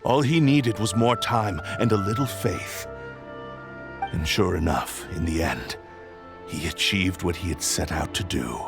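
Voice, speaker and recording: A man playing an arrogant, vengeful sentient sword that possesses people, speaking in a grandiose, menacing villain style for a video game. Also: mid-range voice. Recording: loud background music.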